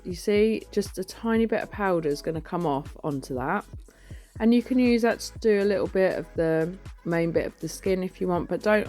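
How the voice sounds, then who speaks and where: A woman with a husky voice giving a chatty, informal voice-over for a nail tutorial, recorded on a phone. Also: on the low side, a little mellow. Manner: chatty, informal, self-deprecating. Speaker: nail artist and educator.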